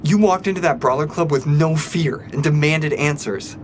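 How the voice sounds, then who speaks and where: A man's voice: fairly high pitch, normal speed, slight background noise, lightly acted. A man playing an eager rookie police officer in an audio drama, speaking in an earnest, awestruck way. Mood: admiring. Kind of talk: scripted audio drama acting.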